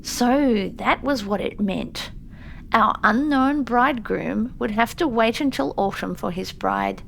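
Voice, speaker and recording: A woman narrates a literary audiobook in a high voice with noticeable creak. Her delivery is measured and careful, in a storytelling style, over faint background noise. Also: big pitch swings, fairly bright tone, low-quality recording.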